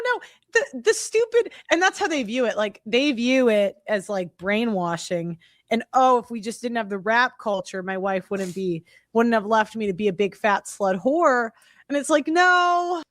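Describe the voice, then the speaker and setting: A woman's voice: fairly high pitch, a bit bright, dry recording, clean studio sound. A woman giving emphatic, mocking commentary on a casual livestream. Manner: emphatic and mocking, repeats herself.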